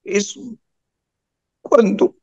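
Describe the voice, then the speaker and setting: A man in his early fifties with a Venezuelan Spanish accent talks as an interview guest. His voice sits a little high and has a clear creak. He tells his story haltingly, pausing to find the right word. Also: darkish tone, dull audio. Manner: halting, searching for words, often checking with "ok?". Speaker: guest, surgeon and amateur radio operator.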